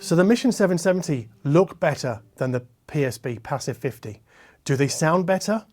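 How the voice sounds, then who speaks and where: A man with a slightly high voice hosts a conversational review. He explains things in a measured, methodical way, talking directly to the viewer. He often qualifies his own judgments as he goes. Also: lively intonation, a little mellow, faint music behind. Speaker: host, hi-fi reviewer. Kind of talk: conversational product review to camera. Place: treated listening room, six by five meters.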